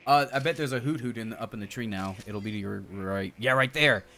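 A man's voice: on the low side, fairly quick, bright, forceful tone, a little hiss or hum. A man in his thirties bantering casually and profanely as he co-hosts a video game stream. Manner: playful, profane, bursts into song snippets. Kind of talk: casual banter over gameplay. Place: indoor streaming setup. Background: game music.

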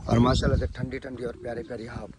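A young man with a cold chats on a village vlog in an ordinary middle-pitched voice. He sounds affectionate and teasing, talking into a phone held at arm's length. Noticeable outdoor noise runs under the recording. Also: level tone, rapid-fire. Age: young adult. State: sore throat and cold. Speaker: vlogger. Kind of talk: casual vlog chat.

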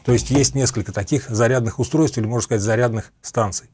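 A middle-aged man with a slightly husky voice, methodically explaining equipment in a review to camera. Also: medium pitch, fast talker, darkish tone. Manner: methodical, explanatory, repeats points for clarity. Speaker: host of a radio equipment review channel. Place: small dim home office with computer monitors.

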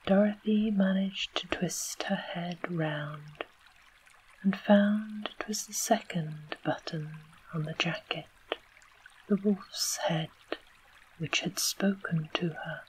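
Slow whispering storybook narrator. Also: medium pitch, a little hiss or hum, low-quality recording. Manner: slow, unvoiced whisper throughout. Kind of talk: whispered bedtime story reading.

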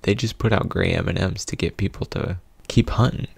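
Deep, gravelly young man telling stories. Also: fairly even intonation, fairly quick, no echo. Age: young adult. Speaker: video host, storyteller. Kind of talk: casual comedic storytime. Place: small indoor home studio. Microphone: close studio condenser mic with pop filter.

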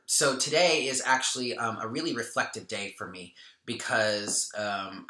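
A chatty man in his thirties talks straight to the camera from a small room at home, with a very bright, forward tone. He laughs often, trails off and restarts his sentences. The room sounds echoey around him. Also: fairly low pitch. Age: 30s. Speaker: spiritual channel host. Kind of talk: casual vlog talk to camera.

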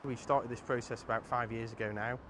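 A man in his thirties answers in a news interview, his pitch medium and quite flat. He is outdoors, with faint traffic noise behind him. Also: fairly quick. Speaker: local councillor interviewee. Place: outdoors by greenery.

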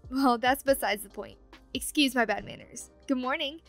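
Girl acting as teasing chatty ghost roommate, highish voice, audio roleplay w music behind. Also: moderate pace, a bit bright. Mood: teasing, friendly. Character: playful, teasing, meddling young female ghost roommate.

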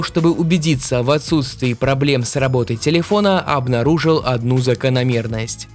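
Slightly sick man reviewing phones. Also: medium pitch. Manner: playful "grandpa" persona, calls viewers grandkids. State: sick, strange voice. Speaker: tech reviewer.

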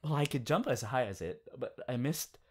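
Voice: medium pitch, animated, moderate pace, darkish tone, breathy edge. A performer playing a playful, anxious young male rabbit hero boyfriend in an ASMR audio roleplay, with a hesitant, giggly manner. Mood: cheerful.

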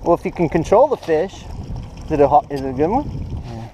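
A man casually chatting and hosting a fishing outing, with excitable, teasing banter. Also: fairly high pitch, brisk, dull audio, a little hiss or hum. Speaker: host filming a fishing outing. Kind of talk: casual chat while fishing. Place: outdoors on a small open boat. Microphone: action camera on the boat. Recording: music over outdoor boat audio. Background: music and boat sounds.

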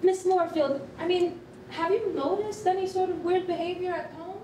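A woman's voice: normal speed, mellow. A woman playing a friendly, patient, upbeat school counselor in a staged reading. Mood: concerned. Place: concrete rehearsal hall, live staged reading. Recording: roomy, distant mic, noisy rumble.

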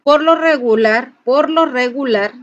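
A woman with a Mexican accent and a fairly high voice explains things step by step in an informal tutorial style. She addresses the listener directly and stresses her instructions. The recording is somewhat dull and lo-fi. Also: measured pace. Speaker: folk ritual practitioner and channel host.

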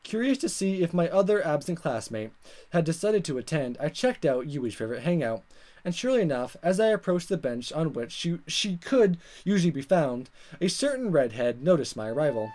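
A man reading a visual novel aloud as a let's player, in expressive narration with casual asides and stumbles that he corrects. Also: fairly high pitch, brisk. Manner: animated, stumbles over words and corrects himself.

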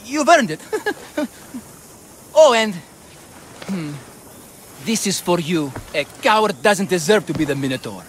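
A grateful, jovial man in a game cutscene. His voice is high and swings lively, with faint ambience behind it. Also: slow pace, long pauses, slight background noise, heavily acted.

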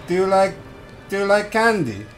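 A man's voice: high voice, big pitch swings, moderate pace, fairly bright tone, faint music behind. A man chatting in improvised game roleplay, polite and hesitant, often repeating himself. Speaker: gamer, video creator.

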